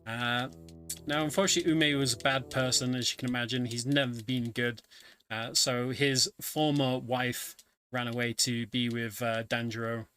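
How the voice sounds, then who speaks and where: A man's voice: mid-range voice, steady tone, normal speed, a bit bright, clean tone. A man giving chatty, wry live commentary on a speedrun, telling the story of the game as he plays. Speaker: speedrunner commentating own run. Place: home setup, streamed online event. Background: game music.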